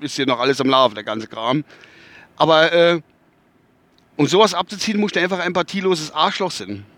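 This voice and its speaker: A man's voice: fairly high pitch, expressive, a little fast, a bit bright, dry recording. A man with a Westphalian accent hosting his own podcast from inside his car while driving, rambling, groping for words and swearing casually. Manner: rambling, searching for words, many fillers and restarts. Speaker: podcast host. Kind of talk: casual spoken podcast monologue.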